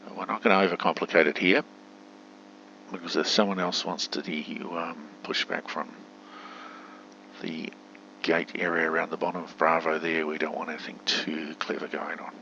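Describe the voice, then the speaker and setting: A man's voice: deep voice, little pitch movement, moderate pace, gravelly, bright, forceful tone. A man giving casual, chatty gameplay commentary on a simulator, thinking aloud, in a dull home recording. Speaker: gamer narrating a simulator playthrough. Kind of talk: casual gameplay commentary with spoken radio commands. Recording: dull, muffled home recording with faint hum.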